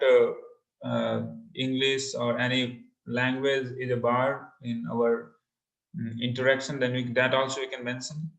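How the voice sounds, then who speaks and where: An Indian-accented man, a native Hindi speaker, leads an interactive online class. He speaks in an encouraging way and often repeats himself. His mid-pitched voice carries clear room echo from an online call. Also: a bit bright. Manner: mixes English and Hindi, repeats phrases, encouraging. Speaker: online course instructor. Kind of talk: interactive webinar presentation.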